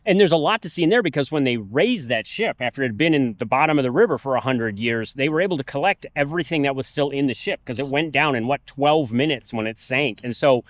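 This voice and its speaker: A man's voice: mid-range voice, expressive, fast talker, hardly any pauses, no room sound. A man on a travel vlog, outdoors at a park, casually explaining history to the camera at length. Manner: informative, talks through history at length. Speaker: travel vlogger. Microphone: camera mic.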